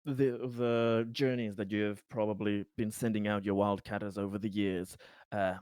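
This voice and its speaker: A man's voice: fairly low pitch, little pitch movement, moderate pace, a little mellow, smooth, no echo, studio quality. A man playing a tabletop roleplaying game, improvising questions in formal wording and searching hesitantly for his words.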